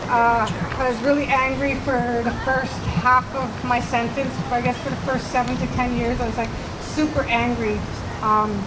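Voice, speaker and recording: Nervous woman speaking at a noisy rally. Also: on the high side. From Manitoba, Canada. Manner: hesitant, nervous, many fillers. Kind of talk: personal testimony speech. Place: outdoor rally. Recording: noisy outdoor recording with traffic.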